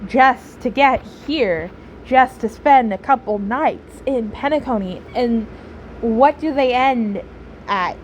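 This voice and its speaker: A woman gives reflective, casual game commentary in a fairly high voice. She speaks slowly and haltingly, thoughtful but opinionated, with faint noise in the background. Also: rough recording. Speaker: game streamer reacting to story scenes.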